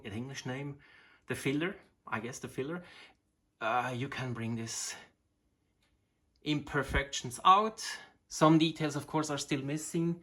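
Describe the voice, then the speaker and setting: A man in his forties, a Swiss German native speaker with a Swiss German accent, hosting a casual hobby video in halting English with many fillers as he searches for words. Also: a little high, pushed and bright. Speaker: model rocket hobbyist, channel host. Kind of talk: casual show-and-tell video.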